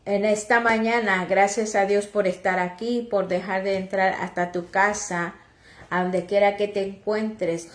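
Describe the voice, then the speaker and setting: Slow, deliberate woman preacher, Chatino accent. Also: mid-range voice, steady tone, roomy sound. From Oaxaca, Mexico. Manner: slow, halting delivery with restarts and self-corrections. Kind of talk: slow Bible reading and sermon, translated into Chatino.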